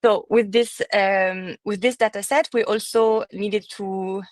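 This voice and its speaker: Woman presenting research, measured with fillers. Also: mid-range voice, fairly even intonation, dry recording. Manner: measured, with frequent fillers and restarts. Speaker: student presenter. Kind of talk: academic conference presentation. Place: conference room.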